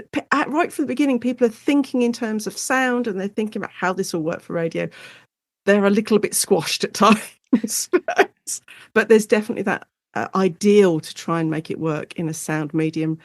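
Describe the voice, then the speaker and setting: A woman speaking as an academic guest in an informal interview, explaining things in an animated way and acting out short quotes. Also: mid-range voice, normal speed, no echo, studio quality. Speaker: guest academic, Shakespeare and radio drama specialist.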